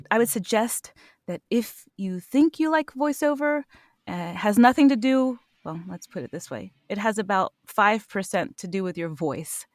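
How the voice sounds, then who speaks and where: A woman voice actor answering interview questions in an earnest, animated way, laughing now and then. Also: mid-range voice, no room sound, studio quality. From United States. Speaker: voice actor guest.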